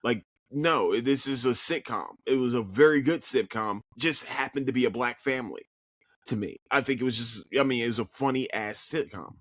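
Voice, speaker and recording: A man from Philadelphia, his local accent coming through, rambles through a casual solo podcast review. His voice sits at an ordinary pitch, and he swears freely and repeats words as he restarts thoughts. The sound is dry, with no room echo. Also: normal speed, fairly bright tone. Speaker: podcast host.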